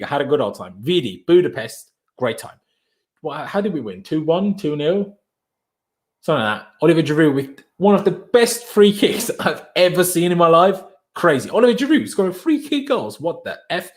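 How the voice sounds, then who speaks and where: Chatty man on a late live stream, slightly high voice. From Austria. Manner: chatty, loose, calls viewers bro. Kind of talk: casual live stream chat reading viewer comments.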